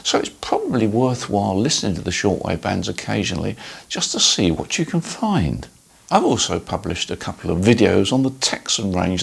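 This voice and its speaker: A man's voice: fairly low pitch, creaky, slightly rough. An older man chatting casually to viewers as a video host, in a conversational, rambling way. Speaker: host of a ham radio dealer's video channel. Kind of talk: casual informal talk to viewers.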